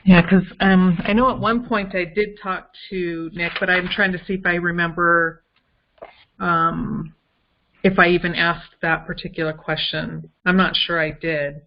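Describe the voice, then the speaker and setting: A woman co-hosting a casual case discussion, thinking aloud in a halting way on muffled call audio. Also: lowish voice, steady tone, noisy background.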